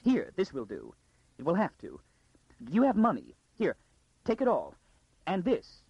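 A frightened lady of high rank, played by a male narrator, pleads urgently in a high-pitched, husky voice. The manner is frantic and wailing, as in a dramatic audiobook. The recording is dry and dull. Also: wildly swooping pitch, a little slow, darkish tone, no echo, exaggerated voice. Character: frantic, frightened noblewoman urging a girl to flee.

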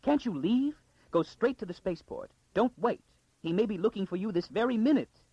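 A frightened lady of high rank, played by a male narrator, pleads urgently in a high-pitched, husky voice. The manner is frantic and wailing, as in a dramatic audiobook. The recording is dry and dull. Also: lively intonation, normal speed, dry recording, heavily acted. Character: frantic, frightened noblewoman urging a girl to flee.

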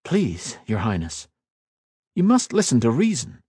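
A man voicing an earnest, calm male mage lord who speaks evenly and respectfully. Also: medium pitch, unhurried, husky, no room sound, clean studio sound, voice pushed up, exaggerated voice. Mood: pleading.

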